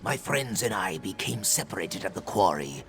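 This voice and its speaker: A man's voice: deep voice, sing-song, moderate pace, vocal fry, fairly bright tone, soft background music, full character voice. A man voicing an anxious lizard-folk refugee in hiding, in fantasy video game dialogue. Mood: worried, pleading.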